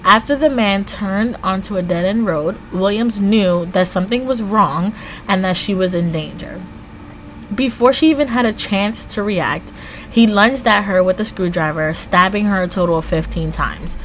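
A woman hosting a true crime podcast, switching between scripted storytelling and slangy, informal, animated asides. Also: medium pitch, normal speed, slight background noise, poor audio.

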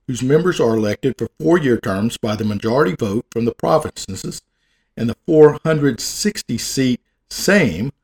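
A man's voice: medium pitch, a little mellow. A man with a Southern American accent reading out facts and stopping for folksy jokes, sometimes tripping over long words. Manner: folksy, wisecracking, stumbles over hard words. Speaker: presenter. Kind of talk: reading facts aloud with joking asides.

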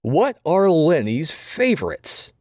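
Showboating villain game show host, swooping high. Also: male voice, big pitch swings, background noise. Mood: gleeful, teasing. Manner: drawn-out, teasing showman delivery. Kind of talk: theatrical game show patter in audio drama.

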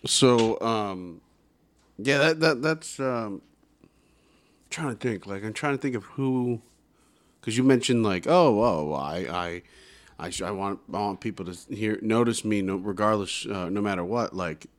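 Low-voiced host, halting and stammering. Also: male voice. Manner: halting, restarts often, stammers. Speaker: podcast host. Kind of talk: casual interview.

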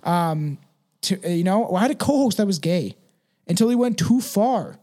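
High-voiced man, blunt podcast host. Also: animated, moderate pace, dark tone. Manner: blunt, emphatic, swears freely, ends phrases with "right" and "man". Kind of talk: casual opinionated podcast chat.

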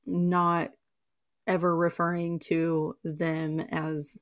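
A young woman from the American South with a low voice, chatting casually and bluntly as a podcast co-host. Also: little pitch movement, extremely slow. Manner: blunt, swears freely, trails off with "like". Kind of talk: casual chat.

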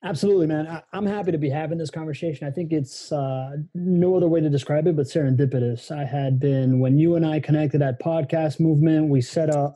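A man's voice: on the high side, mellow, husky, no echo. A man in his thirties, born in Venezuela and raised in Miami, speaking as a podcast guest in a reflective, open way with long run-on sentences. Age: 30s. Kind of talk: casual conversation.

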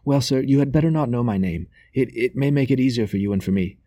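A man's voice: medium pitch, fast, warm tone, no room sound, clean studio sound, pitched above the performer's own voice, close to an everyday voice. A man voicing a nervous, servile male messenger in a novel, hasty and stammering as he pleads. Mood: nervous, urgent.

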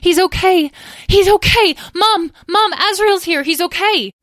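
An indignant young dragon sister, acted out by a woman for a children's storybook. She is bossy and exasperated, scolding emphatically in a raised pitch. The sound is dry and close. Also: expressive, a little fast, a bit bright, no echo, lightly acted. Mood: excited, relieved.

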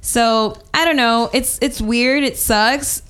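Young woman host, casual panel talk, emphatic and fast to vent, a bit higher voice. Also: a bit bright, clean tone. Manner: emphatic, rising to exasperated quoting. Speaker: podcast host.

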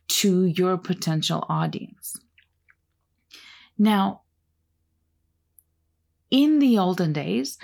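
A woman whose first language is Turkish talks slowly and thoughtfully in a relaxed solo chat. Her voice sits low and has a gravelly, fried edge. She muses as she goes, taking her time over each point. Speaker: artist sharing creative advice. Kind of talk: casual solo talk.

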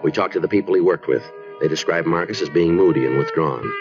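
An actor playing a businesslike American police detective who narrates his case in a deadpan, clipped manner in a 1950s radio drama style. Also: deep voice, fast. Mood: matter-of-fact. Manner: deadpan, clipped, matter-of-fact. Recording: muffled old radio recording, music behind.

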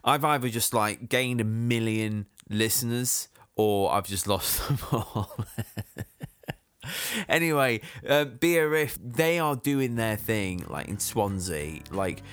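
A man's voice: fairly low pitch, expressive, slow, fairly bright tone, clean tone, no room sound, studio quality. A young man hosting a podcast, chatting casually with a jokey, self-mocking energy. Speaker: podcast host.

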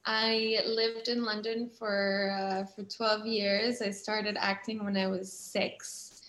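A woman's voice: medium pitch, flat delivery, slow pace, a bit bright. A woman from Switzerland chatting casually as an interview guest, with an enthusiastic, giggly manner. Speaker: guest, actress and producer. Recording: roomy, echoing sound.

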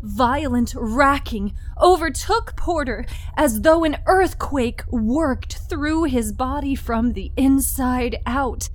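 A woman narrating dramatic horror fiction with deliberate, suspenseful phrasing. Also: sits high, a little mellow.

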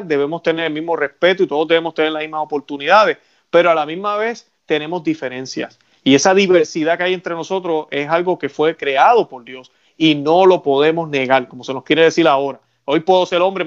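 A Puerto Rican man hosting a talk show, holding forth earnestly with a preacher's conviction. His voice sits a little high for a man, and the sound is dull and lo-fi. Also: a little fast. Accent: Puerto Rican Spanish. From Puerto Rico. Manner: earnest, preaching tone with religious references. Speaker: radio show host.

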